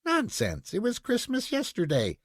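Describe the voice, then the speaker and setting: A man's voice: very high voice, huge pitch swings, moderate pace, no echo, clean studio sound, pitched above the performer's own voice, exaggerated voice. A man voicing a bossy, insistent little girl in a storybook, with a prim, scolding manner. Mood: sleepy, disbelieving.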